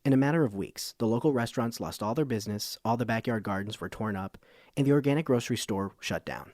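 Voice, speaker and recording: A man's voice: mid-range voice, fast talker, darkish tone, no room sound, studio quality, close to an everyday voice. A man playing an earnest documentary radio host, delivering scripted narration. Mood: measured, storytelling.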